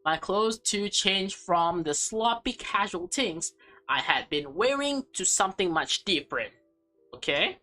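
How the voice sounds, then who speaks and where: Low-voiced woman reading a visual novel aloud. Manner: expressive reading broken by offhand commentary. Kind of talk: visual novel read-aloud with casual asides.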